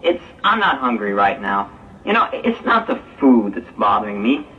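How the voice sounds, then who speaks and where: A man's voice: lowish voice, very animated, moderate pace, sharp tone. A man playing a worried, inquisitive young man in a 1960s religious audio drama. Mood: troubled. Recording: distant, dull, hissy 1960s tape.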